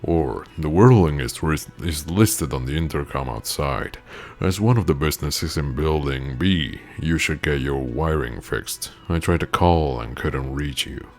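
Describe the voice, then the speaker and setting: A man's voice: a bass voice, a little slow, few pauses, darkish tone. A man whose first language is Italian, reading game dialogue aloud in a let's play, playful and swearing casually. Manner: playful, laughs at his own lines, swears casually. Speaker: gamer.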